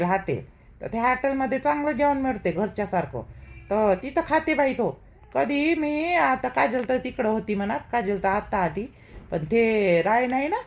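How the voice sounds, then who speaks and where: A woman playing a protective middle-aged village mother-in-law in a cartoon, chatty and emphatic, with a Vidarbha Marathi accent. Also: fairly high pitch. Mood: dismissive, reassuring. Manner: chatty, emphatic, repeats herself. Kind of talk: homely cartoon drama dialogue.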